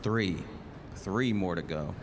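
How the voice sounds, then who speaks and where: An actor playing a world-weary private-eye type cop in a 1940s-style radio drama, terse and slangy. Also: male voice, deep voice, slow, a little hiss or hum. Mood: weary, grim. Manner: terse, slangy, hard-boiled. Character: world-weary hard-boiled male detective narrating his case. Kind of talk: 1940s noir radio narration and acting. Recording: live stage radio play under loud music.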